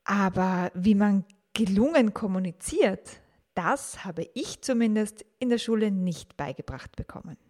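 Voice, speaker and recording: A woman in her forties hosting her own podcast, telling a personal story about how she learned to talk with children, calm and reflective, asking the listener questions. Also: mid-range voice, a little slow. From grew up in the 1980s and 1990s. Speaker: podcast host, teacher and mother.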